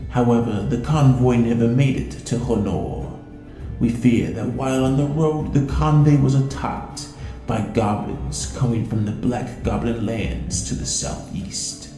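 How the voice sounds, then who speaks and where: Grave quest-giver drow for audio drama, male performer, very dark mellow timbre, formal, echoey. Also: medium pitch, a little slow, close to an everyday voice. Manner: formal, explanatory. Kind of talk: fantasy audio drama briefing. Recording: roomy echo, faint music behind.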